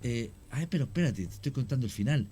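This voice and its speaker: Halting man telling a story. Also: medium pitch, lively intonation, mellow, husky. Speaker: guest, paranormal investigator. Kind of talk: radio talk show storytelling.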